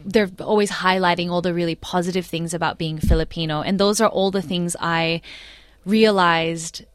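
Young Australian woman guest, low-pitched, pauses and restarts often, close dry recording. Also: fairly even intonation, smooth, no room sound. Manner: thoughtful, many fillers and restarts. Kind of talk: casual interview.

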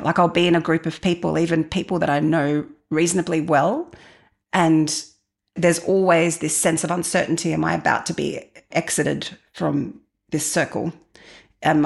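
A woman's voice: low-pitched, vocal fry. A woman in her forties telling personal stories as an interview guest, reflective and candid in a conversational way. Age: 40s.

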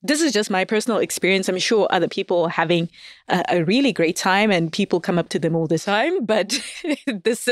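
A woman's voice: medium pitch, lively intonation, a little fast, no echo. Candid laughing woman guest, dry close mic. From Zimbabwe. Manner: laughs often, candid, hedging. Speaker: guest writer. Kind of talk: casual podcast chat.

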